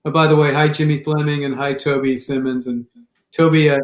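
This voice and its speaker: A man co-hosting a podcast, who says he has a big voice all the time and talks loudly. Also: on the high side, flat delivery, brisk. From Italian heritage. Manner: loud, big-voiced talker. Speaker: podcast co-host. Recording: roomy echo.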